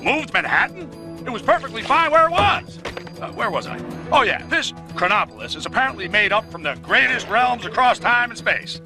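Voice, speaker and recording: A man's voice: extremely high pitch, sing-song, normal speed, sharp tone, faint music behind. A man giving sarcastic, chatty comic narration that recaps a game story.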